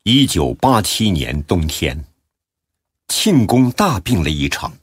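Very deep, husky male narrator. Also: sing-song, slow, no echo, clean studio sound. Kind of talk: scripted storytelling narration.

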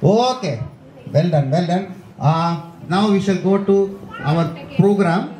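A man's voice: sits high, slow pace, over loud music. Older Indian-accented man, echoey PA. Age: older, 60s. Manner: coaxing, repeats himself, addresses audience and puppet directly. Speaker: puppeteer and presenter. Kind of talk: live talk to a children's audience. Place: covered outdoor stage at a school. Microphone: handheld microphone. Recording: echoey PA sound in open venue.

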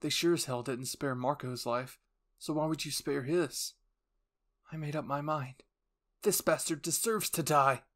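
A man's voice: on the high side, fairly even intonation, a little slow, a bit bright. A man voicing the anxious, defiant inner thoughts of a young soldier in an anime story. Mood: bitter, resolved. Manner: earnest inner monologue.

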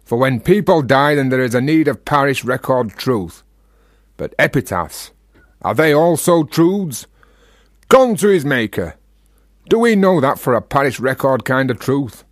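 An actor playing a talkative, teasing Yorkshire freethinker in a radio play, with a rhetorical, provocative manner. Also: male voice, mid-range voice, expressive, a little slow, no room sound, clean studio sound, natural voice. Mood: argumentative, expansive.

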